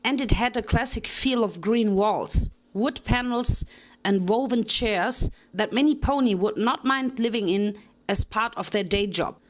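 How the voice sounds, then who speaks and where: A woman narrating a fan fiction story in a dramatic reading, with steady storytelling delivery. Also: fairly high pitch, measured pace. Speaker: reader.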